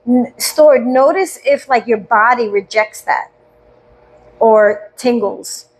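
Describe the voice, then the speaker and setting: A woman leading a guided breathing meditation, speaking slowly and soothingly with gentle questions and audible sighs. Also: on the high side, breathy edge, rough recording.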